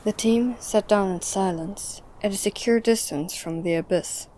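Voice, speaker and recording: A woman playing a measured storyteller, narrating a scripted audio drama. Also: mid-range voice, dark tone, a little hiss or hum, natural voice.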